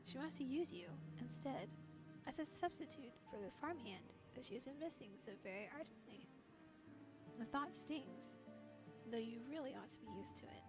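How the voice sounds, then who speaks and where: A woman's voice: high voice, lively intonation, moderate pace, over music. A playful, giggly woman VTuber reading a visual novel aloud and adding casual commentary. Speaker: VTuber streamer.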